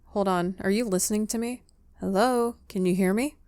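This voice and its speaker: A woman's voice: mid-range voice, moderate pace, darkish tone, dry recording, studio quality. A woman playing a visual novel and reading its lines aloud dramatically, as in a playthrough. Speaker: game player reading aloud.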